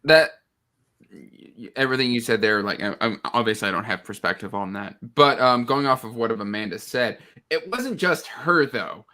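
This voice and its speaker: Bright-voiced man venting about a book. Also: mid-range voice, lively intonation, moderate pace. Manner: stammering restarts, exasperated emphasis, repeats words for effect. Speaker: book discussion panelist. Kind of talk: casual book discussion on a live show.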